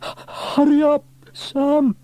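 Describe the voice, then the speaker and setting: A nervous male firefighter, played by a male narrator in a children's story. He sounds anxious and wobbly, groaning and wailing, with a husky edge and big leaps in pitch. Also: expressive, moderate pace, warm tone, dry recording. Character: nervous, gulping male firefighter afraid of heights.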